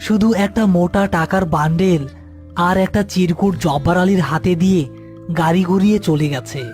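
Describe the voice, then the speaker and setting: High-pitched man narrating horror. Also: moderate pace, darkish tone, soft background music. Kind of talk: scripted horror story narration.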